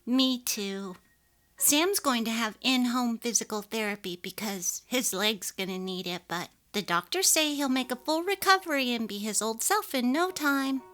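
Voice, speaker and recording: A woman's voice: a little high, expressive, normal speed, a bit bright, voice pushed up. A woman voicing an earnest, resolute young wife and mother in a dramatically read story, with emphatic, heartfelt delivery. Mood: content. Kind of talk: dramatic reading of a text-message story. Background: music.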